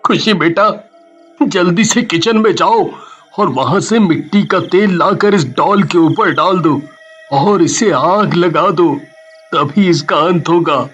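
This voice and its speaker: A man playing a father in a horror story, dramatic and halting, with repeated pleading phrases. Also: high voice, fairly bright tone, slightly rough, muffled recording, faint music behind, full character voice. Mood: urgent. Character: frightened, pleading father in a haunted house.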